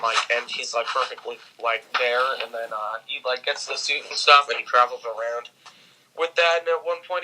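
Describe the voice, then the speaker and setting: A man with a very bright, forceful voice talks as a guest on a movie review. His delivery is informal and conversational, with a sharp edge to the sound. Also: mid-range voice, slight background noise, low-quality recording. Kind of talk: casual chat, film review.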